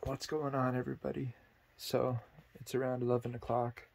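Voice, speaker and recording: A man's voice: medium pitch, completely flat delivery, normal speed, creaky, darkish tone, slight background noise, low-quality recording. A young man vlogging alone from a cold abandoned cabin at night, nervous and self-deprecating, filming on a handheld camera. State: cold and sleepy. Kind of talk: casual vlog to camera.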